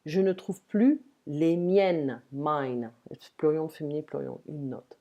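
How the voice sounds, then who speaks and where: A woman teaching grammar in English, patiently modelling each word slowly. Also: sits low, dark tone, muffled recording. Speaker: French tutor. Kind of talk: one-on-one language lesson, explaining grammar.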